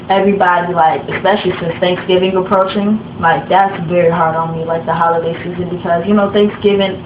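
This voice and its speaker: A young woman from Africa whose first language is Swahili, telling her own story in a soft, halting way with many fillers. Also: lowish voice. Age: young adult. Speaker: interviewee. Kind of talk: personal testimony. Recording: echoey room, dull lo-fi sound, noisy background.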